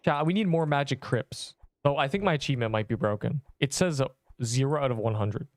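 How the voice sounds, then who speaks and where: A man's voice: mid-range voice, brisk, no room sound. A young man from Canada with a subtle Canadian accent, chatting casually and rambling while streaming a game. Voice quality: rambling, self-interrupting chatter. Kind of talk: casual live-stream chat while gaming.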